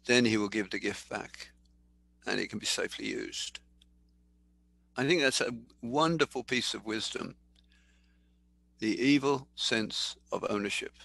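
An older man giving a sermon in a thoughtful, conversational way, slowing down to read scripture aloud. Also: medium pitch, creaky, pushed and bright, no echo. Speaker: preacher. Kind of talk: sermon teaching.

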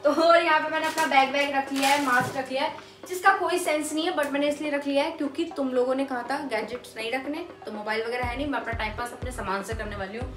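A woman's voice: high-pitched, fast talker. A young woman in her twenties chatting playfully to camera in a small home kitchen, self-mocking and chatty. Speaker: vlogger. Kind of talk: casual vlog chat to camera. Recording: echoey kitchen with music underneath.

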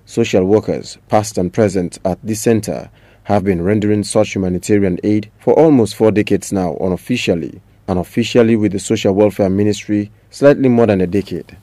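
A man's voice: fairly low pitch, a little fast, dark tone, no echo. A man with a Sierra Leonean accent narrating a scripted television news report.

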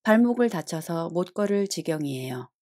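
A woman reads lesson prompts at a very quick clip, her pitch moving lively up and down. Her voice is mellow and soft-edged, and the delivery stays brisk and even. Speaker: Korean prompt reader.

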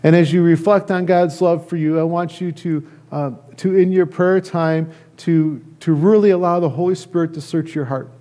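Mellow middle-aged American man preaching. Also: on the high side, fairly even intonation, normal speed, few pauses. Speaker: pastor. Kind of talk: sermon. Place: church sanctuary, indoors at a lectern.

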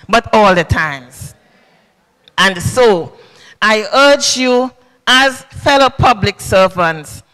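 Slow, bright-voiced woman preaching. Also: a little high. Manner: emphatic, preacherly, with sing-song cadences and repetition. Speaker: government official preaching a sermon. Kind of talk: sermon at a public service church service. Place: large indoor hall at a podium. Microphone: handheld microphone. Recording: long reverb in a large hall.

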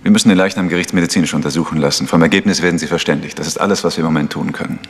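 An actor playing a brisk, businesslike police inspector in a German radio crime drama, official and matter-of-fact. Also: male voice, rumbling low. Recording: long reverberant hall.

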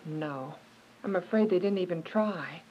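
Woman acting quiet resigned young prisoner, religious radio drama, dull sound w some noise. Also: fairly low pitch, a little slow, close to an everyday voice. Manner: quiet, subdued.

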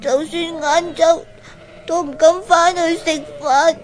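A woman's voice: very high-pitched, steady tone, slow pace. A woman playing a young orphan shepherd boy in a Cantonese radio drama, with a thin, plaintive childlike voice and a Hong Kong accent. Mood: tearful, pleading. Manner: plaintive, whimpering.

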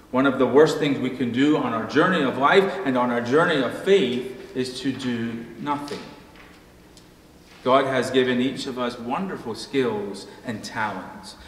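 A middle-aged man, a pastor, preaching a measured sermon from a pulpit in a church sanctuary, slowing down at the ends of his phrases. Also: mid-range voice. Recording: echoey hall, faint room noise.

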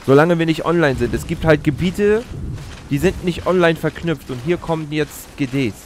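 Man, slightly high-pitched, casual let's play host, explanatory, close mic, faint background noise. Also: moderate pace. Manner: explanatory, chatty. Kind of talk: casual gameplay commentary. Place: indoor streaming setup. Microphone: close streaming mic at gaming chair.

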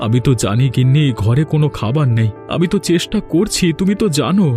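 A man's voice: fairly high pitch, big pitch swings, fast talker, mellow. A man playing a poor, patient village husband in a cartoon, speaking in an earnest, reassuring way. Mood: soothing. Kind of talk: Bengali cartoon voice acting. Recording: music behind the voice.

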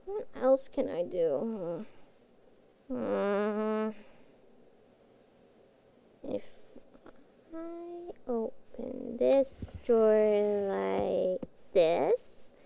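A woman playing a childlike, guilt-ridden catgirl pet in a fantasy audio roleplay, speaking in halting, pleading, naive phrases. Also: a little high, vocal fry, warm tone, full character voice. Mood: careful, musing.

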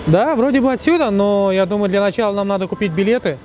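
A man's voice: high voice, expressive, fast talker, noisy background. A man in his thirties, a father in casual acted chat at a railway station. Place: busy railway station, platform and hall.

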